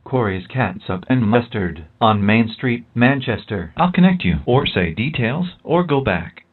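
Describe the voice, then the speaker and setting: A man's voice: medium pitch, animated, unhurried, breathy edge. A man's voice as an automated directory assistant, reading out prompts over a telephone line. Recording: muffled lo-fi phone call playback.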